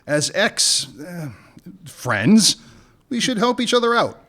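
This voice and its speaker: A man's voice: high voice, very animated, slow pace, a bit bright, exaggerated voice. A man playing a wry, bargaining gun runner. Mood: scheming. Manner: wry, bargaining, dry jokes. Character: smooth-talking male gun runner. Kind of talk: radio play acting.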